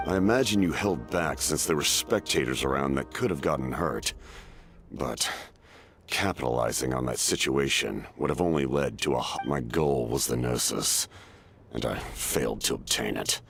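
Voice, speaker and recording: Very deep gravelly immortal warrior. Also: male voice, fairly bright tone, soft background music, heavily acted. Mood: stern, resolute. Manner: measured, solemn, formal. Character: ancient cursed immortal warrior, grave, weary, proud, honourable. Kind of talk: video game voice acting.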